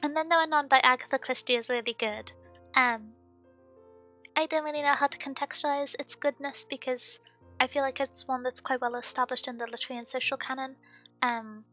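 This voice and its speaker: A woman co-hosting a book club stream, chatting casually with quick wry asides and a voice that has been strained. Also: high-pitched, steady tone, sharp tone, highs cut off, faint music behind. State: voice strained from past voice loss. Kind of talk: casual chat.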